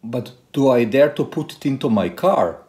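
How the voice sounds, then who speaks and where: Animated man vlogger, lively pitch swings. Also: normal speed, heavy background noise. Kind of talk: presenting to camera and narrating a car tech video.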